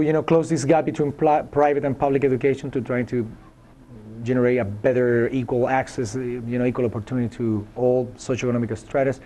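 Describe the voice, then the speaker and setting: A man in his thirties from Chile, speaking English with a Chilean Spanish accent as a university professor answering interview questions. He talks in a thoughtful, halting way, with many fillers and restarts. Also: mid-range voice, dark tone, a little hiss or hum.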